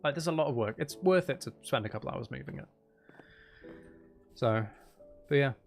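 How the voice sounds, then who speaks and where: A man's voice: mid-range voice, lively intonation, normal speed, gravelly, faint music behind. A man chatting casually and in a rambling way as he hosts a livestream while gaming. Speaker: streamer, ASMR channel host.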